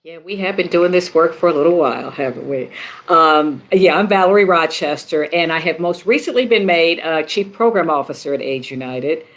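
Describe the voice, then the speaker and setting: A woman speaking as a panelist, explaining her organization's work in a measured, professional way. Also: low voice. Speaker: panelist, nonprofit program officer.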